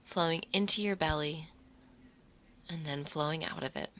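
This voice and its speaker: A woman leading a guided imagery meditation in a soothing, unhurried manner, speaking in short phrases with pauses between them. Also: sits low, fairly bright tone. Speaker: guided relaxation narrator.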